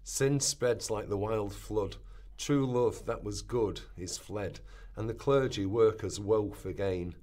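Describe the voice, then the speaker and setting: Low male voice, actor as medieval rebel preacher, fervent exhortation, declaimed verse, radio drama. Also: takes it slowly, a little mellow. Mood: grave, fervent. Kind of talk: declaimed sermon and verse in a radio play rehearsal.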